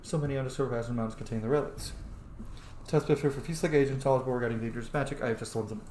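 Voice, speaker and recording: A man's voice: medium pitch, fairly even intonation, very fast, darkish tone. A man commenting casually on a roleplaying game, chatty and opinionated, reading text aloud and reacting to it. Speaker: video game streamer and roleplayer. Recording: roomy sound with faint background noise.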